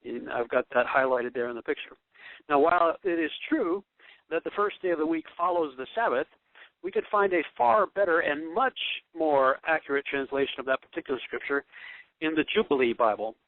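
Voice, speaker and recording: A man's voice: a little high, brisk, no room sound. A man hosting a radio show, earnestly explaining scripture in a casual teaching monologue and thinking aloud as he goes. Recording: dry, phone-like narrow sound.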